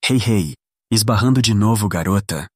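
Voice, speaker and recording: A man's voice: fairly low pitch, moderate pace, a little hiss or hum, close to an everyday voice. A man with a Brazilian accent playing a cocky, popular teenage athlete in an audio drama, cool and offhand. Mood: cocky, casual.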